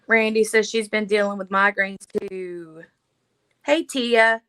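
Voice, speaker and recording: Woman, livestream host, chatty, bright clear tone, dry close sound. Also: medium pitch, fairly even intonation, slow, no room sound. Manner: chatty, laughs often, reads viewer comments aloud. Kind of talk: casual live chat.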